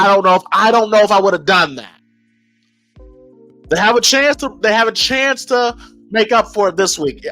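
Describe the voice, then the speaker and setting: An American man with a somewhat high voice hosts a casual podcast over background music. He talks informally and with emphasis, working through his opinions aloud and repeating phrases as he goes. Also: a bit bright. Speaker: wrestling podcast host.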